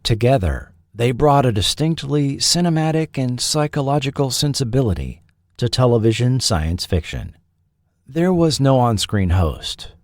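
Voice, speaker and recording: A man narrating a scripted history documentary as its host, with deliberate, dramatic phrasing. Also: medium pitch, sing-song, darkish tone, no echo, studio quality.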